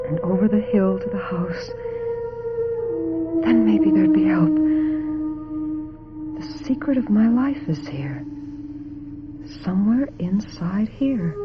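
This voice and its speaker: A woman playing a distraught, guilt-ridden film actress in an old-time radio drama, halting and melodramatic. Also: mid-range voice, dull audio. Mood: haunted longing.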